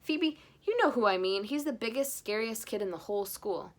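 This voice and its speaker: A woman voicing a forgetful young boy bear from a storybook, eager and halting as he searches for words. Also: on the high side, lively intonation, lightly acted. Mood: eager, muddled.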